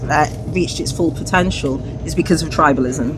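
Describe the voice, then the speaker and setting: Measured academic woman, steady, reflective, in a crowded space. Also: deep voice. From north of England. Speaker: human rights investigator and academic. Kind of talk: casual street conversation. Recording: crowd noise behind.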